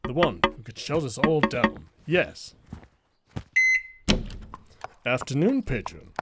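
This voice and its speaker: Guy doing a calm wry security chief in a cartoon dub, expressive pitch, noisy recording. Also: measured pace. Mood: composed, polite. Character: gruff but easygoing adult security chief, composed. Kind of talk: animated fandub voice acting.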